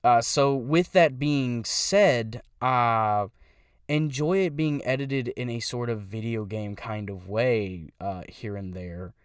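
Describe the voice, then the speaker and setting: A man's voice: medium pitch, takes it slowly, smooth, no echo, clean studio sound. A young man who does voice impressions, talking casually about his channel in a rambling way, stopping to correct himself.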